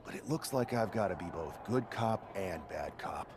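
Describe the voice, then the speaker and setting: An actor playing a cocky, wisecracking young wrestler in a video game cutscene. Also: male voice, low voice, animated, moderate pace, darkish tone, a little hiss or hum. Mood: demanding.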